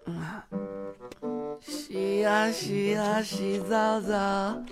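A man's voice: high voice, flat delivery, extremely slow, gravelly, over music, exaggerated voice. A man acting a playful, stubborn young man in an audio drama. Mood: drunk, childish.

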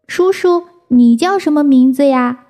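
A woman voicing a tearful, polite little baby dinosaur in a high childish voice. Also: unhurried, mellow, soft background music. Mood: chatty.

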